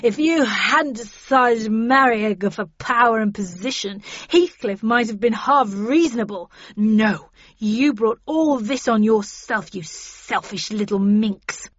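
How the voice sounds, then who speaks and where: Furious Victorian gentleman, voiced by a woman reader, accusing, scornful, loud, dry storybook recording. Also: a little high, takes it slowly, no echo, muffled recording. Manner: yelling, scornful.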